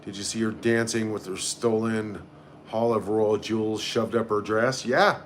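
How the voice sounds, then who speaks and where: A man's voice: fairly low pitch, steady tone, unhurried, slight background noise. A man hosting a casual live stream, reading out comments and reacting in a blunt, sarcastic, sweary way. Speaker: livestream host.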